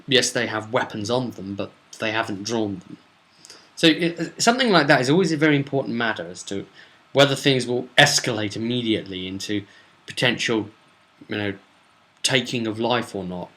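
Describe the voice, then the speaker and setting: A man's voice: lowish voice, bright, forceful tone. A British man giving a casual, rambling recap as a game master, often digressing to explain and quote his characters. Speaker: game master recapping a role-play session.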